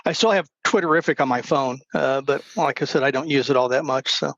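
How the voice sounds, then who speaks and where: A man speaking as a guest on a casual panel, halting and self-deprecating. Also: mid-range voice, fairly bright tone, no room sound, lo-fi sound.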